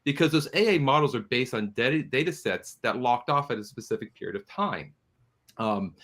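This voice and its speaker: A man talks as a guest in a conversational panel interview. His pitch is medium and very animated, and he explains his points earnestly, stressing key words. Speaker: studio producer, interview guest.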